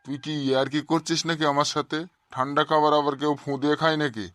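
A man playing an exasperated high-voiced cartoon schoolmaster. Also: little pitch movement, fast, a bit bright, no echo, natural voice. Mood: exasperated, scolding. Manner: scolding, sarcastic, addressing pupils bluntly. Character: exasperated, short-tempered male village schoolteacher, middle-aged. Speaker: cartoon voice actor. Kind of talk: comic cartoon dialogue.

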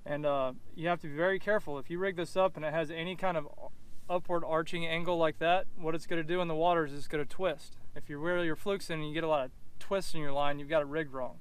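A man in his thirties giving a casual how-to fishing lesson on a boat, talking in a relaxed, matter-of-fact way as he rigs the bait. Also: fairly high pitch, fast, smooth. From Central Florida. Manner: relaxed, matter-of-fact, thinks aloud while rigging. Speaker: fishing instructor. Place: outdoors on a boat on a lake.

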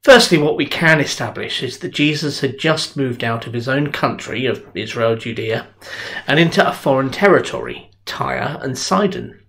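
A man's voice: mid-range voice, moderate pace, vocal fry, fairly bright tone. A middle-aged man preaching a sermon from a small home study, recorded on a desk webcam. His delivery is conversational and earnest, like telling a story to friends. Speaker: minister. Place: home study with bookshelves, indoors.

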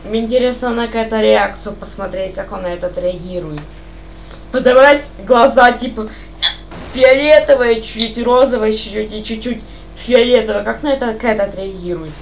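A woman's voice: fairly high pitch, normal speed, gravelly, a little hiss or hum, poor audio. A young woman chatting casually on voice chat while playing a game, rambling and asking the same questions over and over. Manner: rambling, asks repeated questions. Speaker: gamer chatting on voice call.

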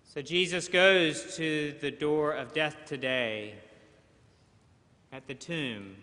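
Middle-aged male pastor, measured sermon, clear bright tone, long church reverb. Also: a little high. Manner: slow, measured preaching with long pauses. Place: large church sanctuary, at a pulpit. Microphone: pulpit mic, far camera.

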